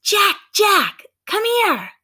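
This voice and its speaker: A woman's voice: very high-pitched, sing-song, drawn-out words, sharp tone, voice pushed up, exaggerated voice. A woman voicing an eager, bold seven-year-old girl who exclaims and calls out in a children's storybook read-aloud. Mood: excited, eager.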